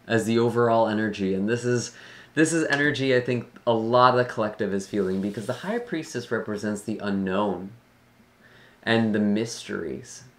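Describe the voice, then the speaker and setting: Casual tarot reader and online host, medium-pitched voice, a little scratchy and tired. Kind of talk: casual talk introducing a general tarot reading.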